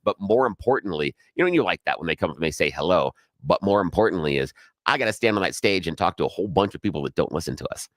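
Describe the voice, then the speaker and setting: A middle-aged man with a big, projecting voice that sits very low, co-hosting a radio talk show. He tells stories at a brisk clip and does the voices of the people he quotes. The studio sound is dry, with no room around it. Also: no room sound. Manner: animated storyteller who acts out quoted voices. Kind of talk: casual on-air chat and storytelling.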